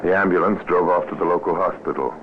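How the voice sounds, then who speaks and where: An actor narrating an old-time radio crime drama as a grave, ominous storyteller, on a scratchy, tinny recording. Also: male voice, low-pitched, fast. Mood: grave, measured.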